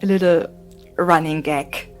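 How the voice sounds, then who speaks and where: A woman narrating a fantasy audiobook in a soft, breathy, hushed voice. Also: deep voice, fairly even intonation, measured pace. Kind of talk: scripted narration. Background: faint music.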